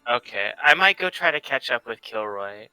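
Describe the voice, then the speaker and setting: A man's voice: medium pitch, lively intonation, normal speed, over loud music, poor audio. A man chatting casually as a player in a tabletop roleplaying game.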